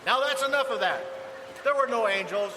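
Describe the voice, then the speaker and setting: An actor playing a gruff Roman centurion guard in a live audio drama, giving curt orders. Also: male voice, extremely high pitch, expressive, brisk, background noise. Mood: dismissive.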